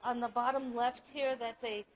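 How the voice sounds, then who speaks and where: A woman's voice: fairly high pitch, fairly even intonation, normal speed, low-quality recording. A woman artist in a museum gallery, earnestly and reflectively describing her family photographs. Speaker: exhibiting artist. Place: art museum gallery, indoors.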